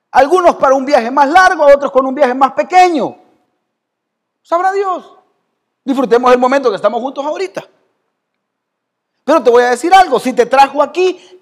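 A Salvadoran pastor preaching to his church in a high voice. He talks conversationally and quizzes listeners by name. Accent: Salvadoran Spanish. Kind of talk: sermon. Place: church hall.

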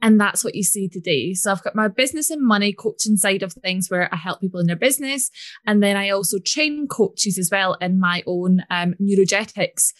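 A woman with a Scottish accent talks in a chatty, flowing way, full of casual asides. Her voice sits at an ordinary middle pitch. The recording is close and dry, with no room sound. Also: normal speed, no echo. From Scotland. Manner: chatty, fluent, lively storytelling with fillers like "like". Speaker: guest business and money coach. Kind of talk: conversational podcast interview.

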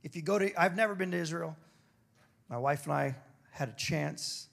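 A man preaching a sermon with a throaty, hoarse voice, moving between emphatic declarations and casual, joking asides. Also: fairly high pitch, normal speed, a bit bright. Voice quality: gravelly, throaty. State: hoarse after singing. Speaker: pastor.

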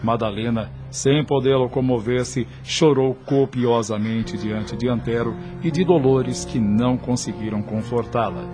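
A man's voice: medium pitch, a little slow, hardly any pauses. Brazilian man narrating a radio drama over music.

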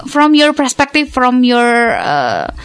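A woman's voice: high voice, very animated, slow pace. A woman hosting a radio talk show, repeating and rephrasing her questions.

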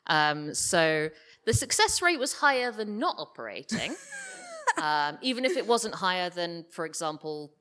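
A woman's voice: lowish voice, huge pitch swings, unhurried, fairly bright tone. A woman guest in a casual live stage interview, talking in a hesitant, wry way. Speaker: interview guest, audio drama writer. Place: live stage at a podcast festival.

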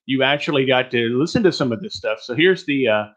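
A man's voice: medium pitch, expressive, fairly quick, fairly bright tone, highs cut off. A man from Texas presenting an online teaching webinar in a rambling, joking, self-deprecating way.

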